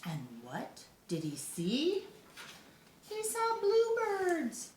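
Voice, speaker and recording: An older woman, a grandmotherly storyteller, reads to young children with expressive, drawn-out words and chatty asides. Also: very high-pitched, a little hiss or hum. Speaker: storyteller grandmother reading to kids. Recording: echoey room.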